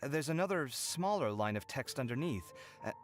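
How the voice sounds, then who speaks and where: A man's voice: medium pitch, expressive, normal speed, lightly acted. An actor playing a dramatic, earnest young man in an anime game, theatrical and articulate. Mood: focused. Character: dramatic young male architect, debt-ridden, earnest daydreamer. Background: game music.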